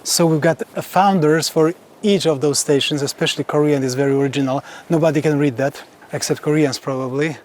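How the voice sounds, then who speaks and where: Polish-speaking monk, slow and earnest. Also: male voice, on the high side, steady tone, a little mellow. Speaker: Carmelite monk giving a tour. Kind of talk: casual guided tour conversation.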